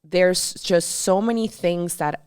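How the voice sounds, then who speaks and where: A woman in her thirties from Colombia, talking as a podcast co-host. Her voice sits low and sounds smooth and clean. She talks in a chatty, emphatic way, full of fillers, on a dry recording. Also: steady tone, takes it slowly, a little mellow, no room sound. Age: 30s. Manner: chatty and emphatic, many "like" and "you know" fillers. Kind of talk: casual podcast chat.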